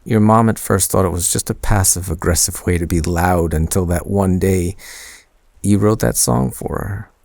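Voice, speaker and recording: A man playing a shy, sentimental young man in an intimate boyfriend audio roleplay, speaking hesitantly and teasingly with a creaky voice. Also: sits low, moderate pace, dry recording. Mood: tender. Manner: hesitant, soft-spoken teasing, stammering.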